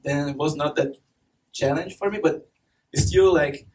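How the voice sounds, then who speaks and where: A man's voice: fairly high pitch, moderate pace, darkish tone. A young man from Brazil with a Brazilian Portuguese accent, giving a chatty conference talk full of fillers and laughs. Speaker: conference speaker. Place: dark event hall with stage and projector. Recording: distant mic with faint noise.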